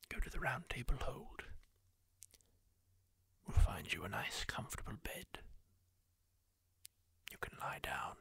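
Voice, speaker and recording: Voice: mid-range voice, full character voice. Someone whispering as a kindly masked healer. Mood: soothing. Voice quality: whispered, gravelly and breathy. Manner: slow, hushed and consoling. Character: kindly masked healer tending a wounded wanderer. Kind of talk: ASMR roleplay whisper.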